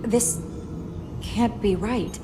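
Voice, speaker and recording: An actress playing a focused, capable science officer in a sci-fi video game, speaking precisely and earnestly. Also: female voice, mid-range voice, drawn-out words, noisy background. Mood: awed, alarmed. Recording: loud game music underneath. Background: game soundtrack.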